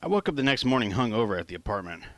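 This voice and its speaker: A man with a fairly low voice narrates a first-person story as an audiobook. His delivery is plain and informal, like an author reading his own work. The sound is dry and close, with little room around it. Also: very animated, rapid-fire, a bit bright, dry recording.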